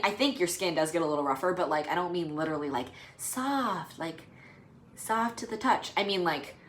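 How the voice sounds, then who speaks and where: Low-voiced woman rambling in a roomy echo. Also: a bit bright, a little hiss or hum. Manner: rambling, hesitant, many fillers. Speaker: vlogger. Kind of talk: casual talk to camera.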